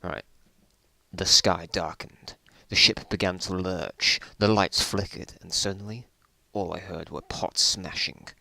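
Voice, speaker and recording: A man's voice: low voice, steady tone, measured pace, gravelly, bright, forceful tone, dry recording. A man reading his own old stories aloud in a casual dramatic style, with self-mocking, bemused asides.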